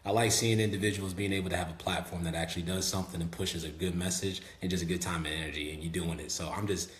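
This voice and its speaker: Brief: young man, Southern accent, fairly low pitch, relaxed podcast guest, echoey room. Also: quick pace. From Memphis, Tennessee. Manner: relaxed, talkative, sprinkled with "um" and "you know". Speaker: guest rapper. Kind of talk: casual podcast interview. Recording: echoey room with faint hum.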